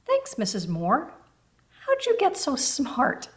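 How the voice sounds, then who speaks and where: A woman's voice: sits high, huge pitch swings, slow, voice pushed up, heavily acted. A woman voicing a shy, polite, self-doubting young girl in a children's storybook, hesitant and deferential. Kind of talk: children's storybook read-aloud.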